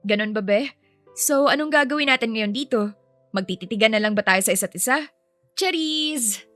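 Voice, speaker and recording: A woman voicing a bubbly, polite young nanny who is easily flustered, animated and friendly, in an audio story. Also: fairly high pitch, normal speed, no echo, soft background music, close to an everyday voice. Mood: playful. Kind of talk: acted audio story dialogue.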